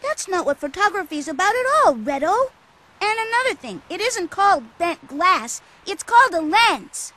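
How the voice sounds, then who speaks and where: A woman's voice: extremely high pitch, big pitch swings, moderate pace, sharp tone, heavily acted. A woman voicing a naive, cheerful young boy in a video game, earnest and inquisitive. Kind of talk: video game cutscene voice acting.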